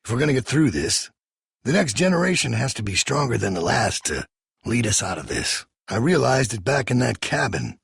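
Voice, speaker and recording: An actor playing a menacing, ruthless leader of a survivor camp in a video game, a middle-aged American man with a gravelly, raspy voice and a folksy, preachy manner. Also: mid-range voice, big pitch swings, normal speed, fairly bright tone, dry recording, clean studio sound, heavily acted. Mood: quiet, persuasive.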